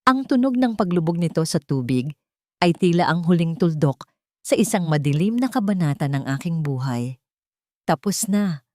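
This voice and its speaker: Female story narrator, lowish pitch, very dry recording, measured sentimental drama delivery. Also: animated, darkish tone, no room sound. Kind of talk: scripted first-person romantic story narration.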